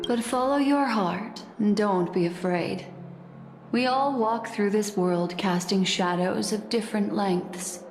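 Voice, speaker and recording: A woman playing an enigmatic, composed, gently teasing woman in a video game cutscene, speaking in a measured, polite, softly playful way. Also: medium pitch. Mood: gentle, solemn. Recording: echoey reverberant voice under clear music.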